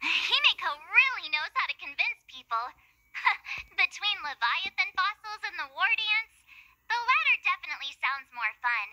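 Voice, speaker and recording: Perky, high-pitched girlish adventurer. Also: very animated, moderate pace, pushed and bright, a little hiss or hum, exaggerated voice, rough recording. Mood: playful, teasing. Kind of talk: animated game voice acting.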